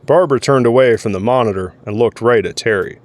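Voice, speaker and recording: A man's voice: medium pitch, fast. A man narrating a scripted audio drama in the role of storyteller.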